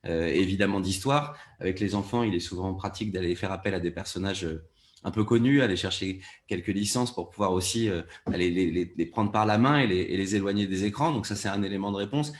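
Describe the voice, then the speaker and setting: A man's voice: low voice, brisk. A man giving long, structured explanations as a panelist in a conversational discussion. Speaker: panelist, audio fiction producer.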